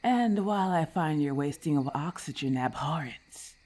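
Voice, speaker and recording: A woman voicing a haughty, predatory snake-monster lamia in an ASMR roleplay, imperious and disdainful, with deliberate formal phrasing. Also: deep voice, animated, a little mellow. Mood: haughty, grudging.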